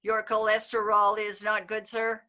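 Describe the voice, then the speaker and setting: A woman's voice: mid-range voice, completely flat delivery, a little slow, sharp tone. A woman voicing a polite, all-knowing pizza order clerk in a readers theater skit over a video call. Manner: polite, unflappable and coolly formal. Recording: dull lo-fi video call audio.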